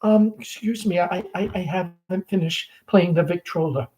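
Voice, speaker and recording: A man voicing a painfully shy, nervous young woman in a stage play, halting and stammering. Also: sits high, a little mellow, voice pushed up, natural voice.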